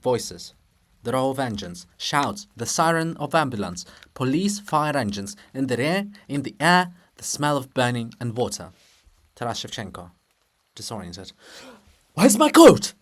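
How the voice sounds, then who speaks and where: A man's voice: mid-range voice, big pitch swings, normal speed, fairly bright tone. A man giving a dramatic scripted narration as the reader of a play.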